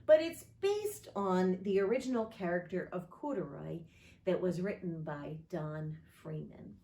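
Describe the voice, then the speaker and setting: A woman reads a children's story aloud with expressive, very varied intonation. She takes her time, and the room around her is audibly echoey. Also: lowish voice, a little slow. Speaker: pastor reading a children's story. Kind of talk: picture book read aloud.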